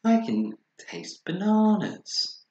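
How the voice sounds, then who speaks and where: A man voicing an excitable, curious young bear in a children's storybook, exclaiming with childlike wonder. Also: high-pitched, takes it slowly, echoey room, dull audio, slight background noise, voice pushed up, full character voice. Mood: excited.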